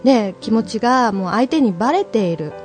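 A woman's voice: a little high, lively intonation, brisk, a little mellow, soft background music, natural voice. A woman playing a chatty, easygoing female bar customer in an improvised comedy skit, talking conversationally in a feminine way. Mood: earnest, chatty.

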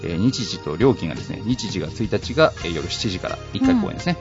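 A man's voice: lowish voice, wildly swooping pitch, fast. A man hosting a radio show, chatting casually and reading out announcements. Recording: muffled recording with music behind.

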